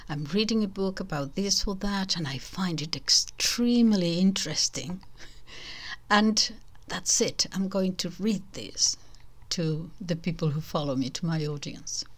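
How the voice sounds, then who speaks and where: Slow, low Spanish-accented older woman. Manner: discursive, restarts and self-corrections, soft whispery asides. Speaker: channel host. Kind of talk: casual spoken reflection to an online audience.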